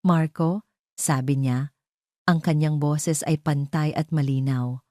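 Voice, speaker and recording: A Filipino woman narrates a scripted audio drama. Her voice sits low and has a soft, dark tone. The sound is dry, with very little room in it. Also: fairly even intonation, measured pace, no room sound.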